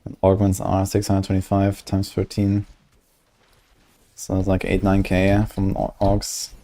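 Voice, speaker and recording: Low-voiced man musing over a game. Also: completely flat delivery, unhurried, dark tone. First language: German. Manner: musing, calculating aloud. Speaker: streamer. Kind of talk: casual gameplay commentary.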